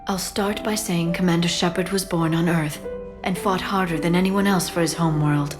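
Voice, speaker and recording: A woman playing a gentle, earnest alien scholar in a video game, speaking softly and with measured sincerity. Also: lowish voice, fairly even intonation, music in the background, close to an everyday voice. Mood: tender, reflective. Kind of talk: dramatic video game acting.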